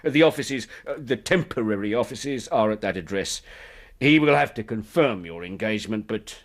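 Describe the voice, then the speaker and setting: An actor in a radio drama playing a genial, persuasive company recruiter, effusive and patronising. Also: male voice, lowish voice, lively intonation, normal speed. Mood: brisk, reassuring.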